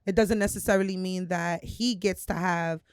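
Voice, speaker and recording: Woman, late 30s, unhurried, frank podcast co-host, lowish voice, dry close mic. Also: steady tone, clean tone, no echo. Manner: candid and drawling, with frequent laughs. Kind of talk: casual chat.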